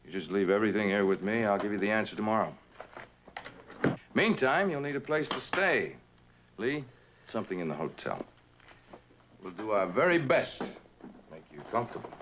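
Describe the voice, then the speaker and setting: A man's voice: lowish voice, sing-song, normal speed, long pauses, noisy background. An actor playing a cold, condescending corporate right-hand man, polished and controlled, with veiled menace, in a mid-century television drama. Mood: businesslike.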